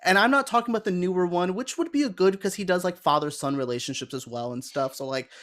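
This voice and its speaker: A man's voice: high-pitched, fast talker, fairly bright tone. A man on a podcast panel chatting casually and enthusiastically about films and games.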